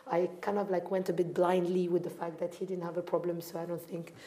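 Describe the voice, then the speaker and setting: A woman's voice: on the low side, little pitch movement, fairly quick, warm tone. A woman from Morocco speaking reflectively as a founder taking part in a panel discussion. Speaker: art fair founder on a panel.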